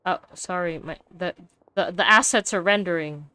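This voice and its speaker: A woman's voice: lowish voice, normal speed, sharp tone, slight background noise. A woman giving casual, wry commentary as she plays a video game. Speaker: game streamer.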